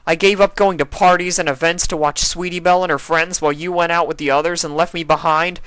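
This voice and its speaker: A heartbroken young male pony lashes out loudly and bitterly, as a man reading a storybook puts him on. The voice climbs high with the anger. The sound is dry and close. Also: steady tone, quick pace, smooth, no echo, natural voice. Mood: angry. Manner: loud, angry.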